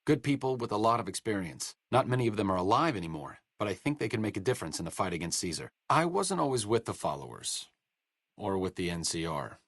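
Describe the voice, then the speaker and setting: A man's voice: lowish voice, sing-song, quick pace, fairly bright tone, no echo, exaggerated voice. An actor playing a young, learned male scientist companion in a game, with a dry, sardonic wit and educated phrasing. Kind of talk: studio game voice acting. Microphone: close studio mic.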